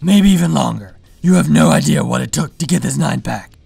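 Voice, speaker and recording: Masked male superhero, husky growl, dry close sound, boastful, deadpan, brooding, parody vlog monologue. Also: mid-range voice, a little fast, dry recording, faint music behind, heavily acted. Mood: boastful, instructive. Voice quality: raspy, gravelly growl. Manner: deadpan, pompous, self-important.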